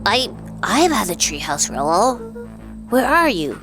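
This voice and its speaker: A woman's voice: mid-range voice, very animated, measured pace, faint music behind. A woman voicing an anxious, earnest young boy hero from a mystery game, with a hesitant stammer. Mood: worried, urgent.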